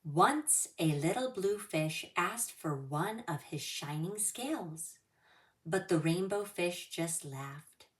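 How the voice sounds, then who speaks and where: A woman hosting a children's story time, reading aloud with deliberate, expressive phrasing, a little away from the microphone. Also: lowish voice, echoey room, recorded from a distance.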